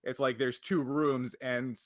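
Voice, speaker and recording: A man's voice: mid-range voice, flat delivery, slow. A man co-hosting a casual podcast, thinking aloud reflectively as he explains things at length. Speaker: podcast co-host and tabletop game designer.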